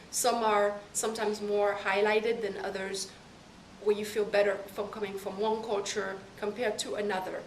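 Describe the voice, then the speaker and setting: Young woman, thoughtful pauses, far from the mic, echoey room. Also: medium pitch, a little hiss or hum. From Caribbean. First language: French. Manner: thoughtful, pausing between phrases. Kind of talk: interview answers.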